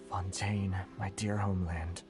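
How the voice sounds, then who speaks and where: Game merchant: lowish voice, world-weary and aggrieved, airing grievances over a music bed. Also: male voice. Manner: world-weary, complaining, sighing. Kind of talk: video game voice acting.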